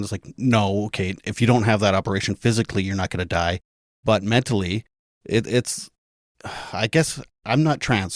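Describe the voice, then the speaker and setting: A man's voice: fairly low pitch, no echo, clean studio sound. A man from Canada, a podcast guest, talking in a rambling, self-deprecating way with frequent restarts. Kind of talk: casual interview chat.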